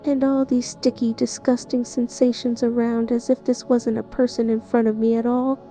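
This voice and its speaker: Mellow flat-voiced woman narrating horror. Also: on the high side, moderate pace, faint music behind, rough recording. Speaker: narrator playing the listener's character. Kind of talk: first-person horror narration.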